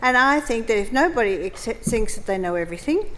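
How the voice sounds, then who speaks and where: Fast, forthright woman panelist. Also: on the high side, animated, a bit bright, slight background noise, rough recording. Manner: emphatic, conversational, forthright. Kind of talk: conference panel remarks.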